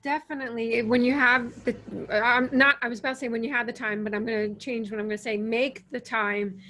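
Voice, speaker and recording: A woman co-host with a somewhat high voice and faint noise behind her. She speaks in an encouraging way, offering advice and reassurance to her guest. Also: steady tone, a little fast, bright, forceful tone. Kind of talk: casual interview chat.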